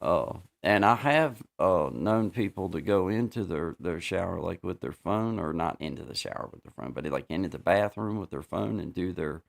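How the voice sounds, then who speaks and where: A man with a very low, gravelly voice hosts a relaxed livestream chat. He rambles, repeats words and fills pauses with "uh" as he talks to viewers. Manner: rambling, repeats himself, many fillers. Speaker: livestream host.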